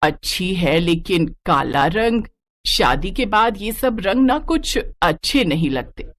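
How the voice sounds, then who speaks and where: A woman's voice: lowish voice, animated, slow pace. A woman voicing a strict, nagging middle-aged mother-in-law in a story, with a bossy, scolding manner. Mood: bossy, disapproving.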